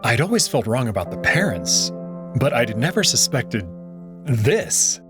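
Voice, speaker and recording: Man, first-person story narration, expressive and lively pitch, faint music behind. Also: unhurried. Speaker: visual novel reader.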